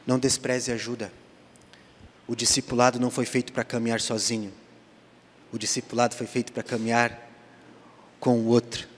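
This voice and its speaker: Brazilian pastor preaching in a reverberant church. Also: male voice, flat delivery, a little fast, pauses often, a little hiss or hum. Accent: Brazilian Portuguese. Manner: swings from hushed low lines to loud raised emphasis, acting out the dialogue. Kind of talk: sermon. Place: church sanctuary.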